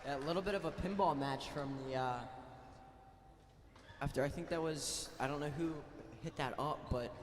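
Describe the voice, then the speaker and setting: A man's voice: fairly high pitch, normal speed, background noise. A young man giving hesitant, offhand color commentary on a school volleyball match from an echoey gym. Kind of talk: amateur sports commentary. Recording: roomy echoing gym with long reverb.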